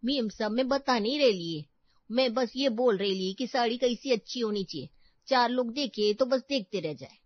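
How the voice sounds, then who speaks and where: Woman putting on a sassy, high-pitched housemaid. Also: lively intonation, moderate pace, no room sound, lo-fi sound. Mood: cheeky, showing off. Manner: cheeky, colloquial street-style Hindi with English words. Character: sassy, overconfident working-class housemaid woman, boastful.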